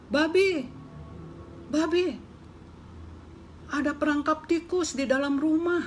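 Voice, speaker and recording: A woman's voice: high voice, slow, soft background music, pitched above the performer's own voice. A woman voicing a small, worried mouse pleading for help. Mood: pleading urgent. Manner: pleading, anxious.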